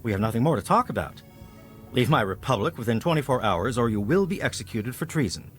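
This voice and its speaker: An actor playing a pompous, officious self-declared president in a video game, with a threatening manner. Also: male voice, mid-range voice, very animated, fast talker, faint music behind, close to an everyday voice. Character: pompous self-declared president of a tiny settlement, adult man. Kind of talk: video game character acting.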